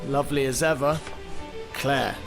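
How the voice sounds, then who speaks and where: A man's voice: mid-range voice, steady tone, a little slow, creaky. An actor playing a flamboyant, condescending aristocratic male poet in a radio drama, with an effusive, grandiloquent manner. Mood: effusive, welcoming. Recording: music behind the voice.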